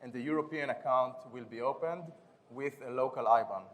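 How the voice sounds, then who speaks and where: A man from Israel with an Israeli accent, giving a quick, businesslike conference pitch and product demo as a startup founder, with some restarts. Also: narrow, tinny audio. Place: conference stage.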